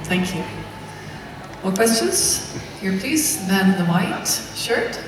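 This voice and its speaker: Polite brisk moderator, press conference, roomy hall, distant mic. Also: mid-range voice, sing-song, background noise. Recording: echoey hall, far from the mic.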